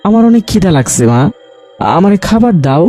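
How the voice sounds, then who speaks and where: A man's voice: high-pitched, big pitch swings, brisk, dark tone, soft background music, voice pushed up. A man voicing a hungry little boy who whines questions to his mother in a cartoon. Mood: plaintive. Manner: whiny, childlike questioning. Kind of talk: Bengali cartoon voice acting.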